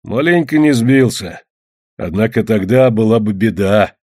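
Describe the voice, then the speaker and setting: A man's voice: on the low side, lively intonation, unhurried, a little mellow, dry recording, clean studio sound. A man voicing a cheerful, self-assured taiga hunter in an audiobook, talking in a folksy, confident way. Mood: easygoing. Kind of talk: acted audiobook dialogue.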